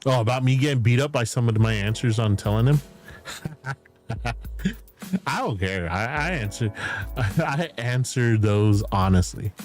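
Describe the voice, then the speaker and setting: A man streaming as a VTuber, chatting casually and candidly, swearing freely and filling pauses with "like" and "um". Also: medium pitch. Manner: rambling, candid, swears casually, frequent fillers and laughs. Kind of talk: casual stream chat answering viewer questions. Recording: music playing behind.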